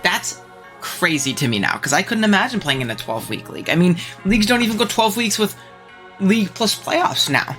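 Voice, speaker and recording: Fast, bright-voiced congested young man. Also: high-pitched, faint music behind. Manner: chatty and animated, frequent ums and laughs. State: stuffed up, congested. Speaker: channel host. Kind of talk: casual commentary reacting to viewer takes.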